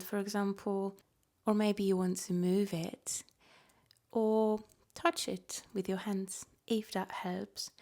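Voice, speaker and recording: A woman guiding a relaxation meditation as a yoga nidra teacher, soft-spoken and gentle, offering unhurried invitations. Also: medium pitch, vocal fry.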